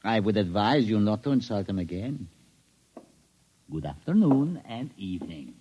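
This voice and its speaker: A man's voice: fairly low pitch, big pitch swings, normal speed, warm tone. Eccentric, theatrical man in old radio drama, swooping delivery. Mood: courteous. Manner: formal, theatrical, mock-courteous. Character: eccentric, touchy male patient devoted to his pet cat. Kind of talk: 1950s radio drama acting. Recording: dull, noisy vintage radio recording.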